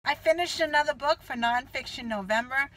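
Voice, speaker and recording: A woman's voice: high voice, rough recording. A woman reviewing books in a casual vlog, slightly nasal from a cold, with many fillers and an easygoing, rambling delivery. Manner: relaxed, filler-heavy, unhurried. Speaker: book reviewer.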